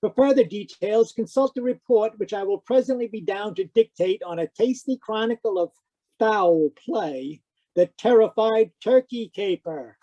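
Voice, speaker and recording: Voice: medium pitch, expressive, normal speed, warm tone, lo-fi sound, close to an everyday voice. An actor playing a cocky, wisecracking hard-boiled private detective with glib, florid delivery, in old-time radio style. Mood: teasing, wry. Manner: glib, florid wordplay, teasing. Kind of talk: 1940s-50s radio detective acting.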